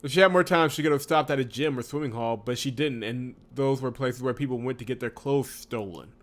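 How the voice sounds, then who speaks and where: Expressive man doing casual let's-play commentary. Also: medium pitch, brisk. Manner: chatty, expressive, wry asides, laughs through speech. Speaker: host of a visual novel playthrough channel.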